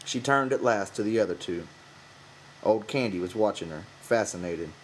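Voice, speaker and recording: A man's voice: lowish voice, animated, moderate pace, a little hiss or hum, poor audio. A man narrating a novel from a script as an audiobook reader.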